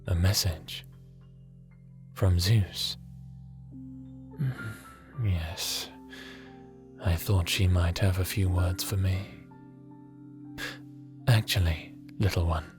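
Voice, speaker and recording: A man acts out a calm, quietly scheming god of the underworld in an ASMR audio drama. His very deep voice moves slowly, gentle and reassuring, over faint music. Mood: welcoming. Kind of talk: intimate ASMR roleplay acting.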